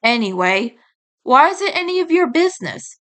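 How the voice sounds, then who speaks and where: A woman voicing a grieving male fox in an audio story, downcast and halting, quick to get annoyed. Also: sits high, sing-song, fairly bright tone. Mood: guarded, annoyed.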